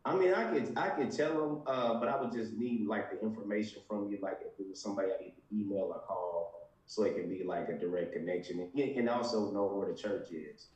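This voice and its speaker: Low-voiced man on a distant, echoey line. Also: brisk, a little mellow. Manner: hesitant, loose and informal. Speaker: caller. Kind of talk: casual call-in.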